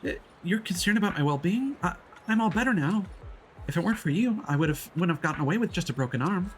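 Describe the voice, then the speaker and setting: A man's voice: high voice, animated, fast, a little hiss or hum, voice pushed up. A man voicing an earnest, admiring young woman from a video game, in a light, gushing, grateful voice. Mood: earnest admiration. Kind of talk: reading game dialogue aloud. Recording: music playing behind the voice.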